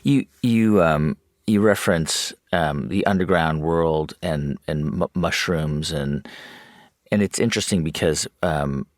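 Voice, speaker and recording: A man's voice: rumbling low, unhurried, dry recording, studio quality. A man working his way conversationally toward an interview question, with tentative restarts. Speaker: podcast interviewer.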